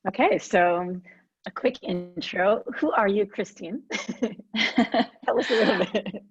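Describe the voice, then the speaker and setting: A woman guest host who writes and edits, asking quick, friendly interview questions. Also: mid-range voice. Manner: friendly and brisk, with light laughs. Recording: dull, dry lo-fi audio.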